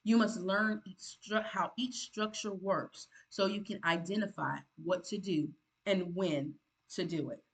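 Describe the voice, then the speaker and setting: A woman, delivering motivational advice in an earnest, preachy monologue. Her voice sits at a middle pitch, and she is emphatic, circling back to restate her points with restarts. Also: unhurried. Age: 50s. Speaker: content creator giving channel advice.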